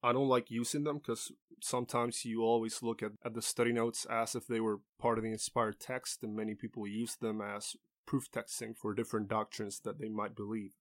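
A man's voice: lowish voice, little pitch movement, normal speed, few pauses. A man hosting a podcast alone, explaining a subject in an earnest, explanatory manner with small restarts. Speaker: podcast host. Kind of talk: solo teaching talk.

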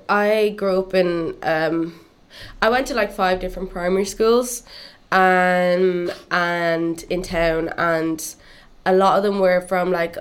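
A young woman from Cuba, raised in Dublin, talks through her story in a relaxed, chatty way, with lots of "like" and "um" fillers. Her voice sits a little low for a woman, and she speaks as an interview guest. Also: smooth. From Cuba, grew up in Dublin. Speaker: musician guest. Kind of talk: casual interview chat.

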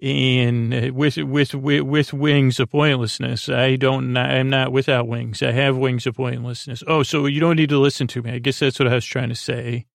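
Goofy guy rambling on a sleep podcast, lots of vocal fry, dry close sound, keeps trailing off. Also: medium pitch, level tone, no room sound. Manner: meandering, halting, trailing off and repeating himself; goofy, whimsical, self-deprecating. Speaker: podcast host. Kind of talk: rambling, unscripted bedtime podcast monologue.

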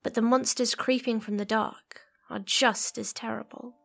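A woman playing a solemn narrator who warns of lurking evil in an ominous, measured fantasy audio drama prologue. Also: fairly high pitch, fairly even intonation, creaky, a bit bright.

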